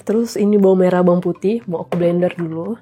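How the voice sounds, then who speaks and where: Woman, 30s, stuffy nasal cold voice, mellow, chatty cooking vlogger. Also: lowish voice, quick pace, soft background music. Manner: chatty, addresses viewers often. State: recovering from flu. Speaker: vlogger and mother. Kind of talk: casual cooking vlog.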